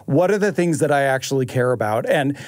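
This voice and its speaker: A man's voice: medium pitch, animated, a little fast, no echo. A man co-hosting a podcast, moving between conversational chat and scripted announcements. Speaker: podcast co-host. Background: music bed.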